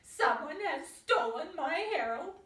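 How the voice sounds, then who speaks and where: A woman's voice: high-pitched, very animated, slow, a bit bright, voice pushed up, full character voice. A woman voicing an anxious, fussing mother duck in a children's story, with dramatic exclamations. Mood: alarmed. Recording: roomy, distant, faint background noise.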